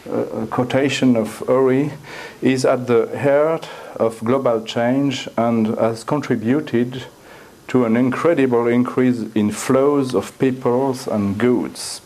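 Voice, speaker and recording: A middle-aged man with a French accent, giving an academic lecture at a lectern in a university room, often halting and repeating words as he looks for the phrasing. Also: mid-range voice, fairly even intonation, darkish tone, poor audio. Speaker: guest lecturer.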